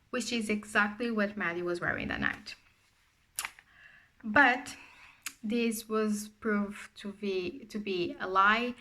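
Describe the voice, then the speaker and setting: Young woman, Portuguese accent, non-native English, bright clear tone, chatty true crime storytelling, lots of fillers. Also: medium pitch. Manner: conversational, frequent fillers and restarts. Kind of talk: casual true crime narration.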